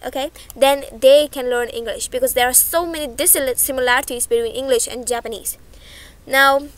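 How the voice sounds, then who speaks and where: High-voiced chatty woman teacher. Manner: chatty, frequent "okay?" check-ins, self-corrections. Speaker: online language teacher. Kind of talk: casual explanatory lesson over slides. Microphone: voice-over on slideshow.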